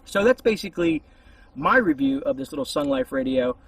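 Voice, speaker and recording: A man with a gravelly, husky voice giving a casual, rambling, hesitant product review outdoors, a little distance from the camera. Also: high voice, sing-song, fairly quick. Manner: informal, hesitant, meandering. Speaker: YouTube reviewer. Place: outdoors among trees. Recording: voice distant from the mic.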